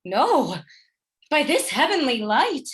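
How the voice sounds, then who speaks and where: A woman playing a gentle, melancholy noblewoman and obedient wife in a Shakespearean radio play, with a wistful manner. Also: high voice, big pitch swings, slow pace, heavily acted. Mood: troubled, innocent.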